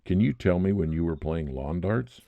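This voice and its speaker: A man playing a calm police detective in an old-time radio mystery, plain-spoken and reassuring as he asks questions. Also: low-pitched, moderate pace, creaky, phone-quality sound.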